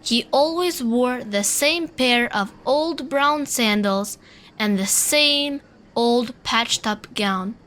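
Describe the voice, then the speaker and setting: A woman reading a children's picture book aloud, slow, clear and expressive. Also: fairly high pitch. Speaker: storybook reader. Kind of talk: read-aloud narration for children. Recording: faint background music.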